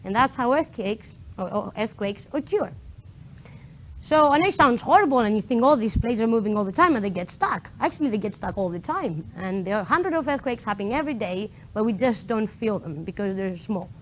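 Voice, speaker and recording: A woman's voice: medium pitch, lively intonation, a little fast, slight background noise, low-quality recording. A young woman from Greece with a Greek accent, giving a chatty talk to a meetup audience, full of fillers and asides. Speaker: conference speaker. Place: lecture room before an audience.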